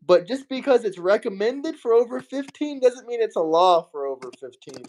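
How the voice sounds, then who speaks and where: A man's voice: high voice, very animated, normal speed, darkish tone. A man hosting a live-stream debate, blunt and profane, with a slight lisp, reading viewer messages aloud. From Florida. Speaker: streamer host.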